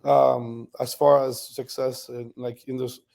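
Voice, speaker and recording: A man in his thirties with a French accent, a bodybuilder guest telling stories in a relaxed interview, laughing often. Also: mid-range voice, measured pace, vocal fry. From France. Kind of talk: casual interview storytelling.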